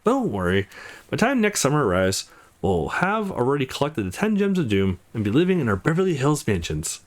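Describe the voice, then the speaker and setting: A man plays a young man in a visual novel, friendly and quick to joke. The reading is eager and supportive, with very lively swings in pitch. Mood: easygoing. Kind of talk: visual novel dialogue read aloud.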